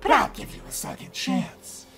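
A woman voicing a vengeful, clingy female ghost in a slow, raspy whisper. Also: loud music behind the voice, natural voice. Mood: menacing. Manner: slow, drawn-out, eerie.

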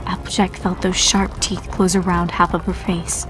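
A woman's voice: lowish voice, flat delivery, normal speed, poor audio. Woman narrating horror over music. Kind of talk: dramatic scripted horror narration.

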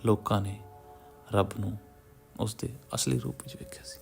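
A man reads a story in a solemn, measured manner. His low voice has a heavy gravelly fry and stays fairly even in pitch. He leaves pauses between short phrases, with music playing behind him. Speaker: audiobook story narrator. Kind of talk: scripted literary story narration. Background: background music.

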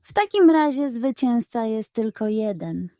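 A woman's voice: on the high side, sing-song, a little slow, dark tone, no echo, studio quality. A woman voicing a proud male town mayor in a children's Western story, formal and declamatory. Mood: congratulating.

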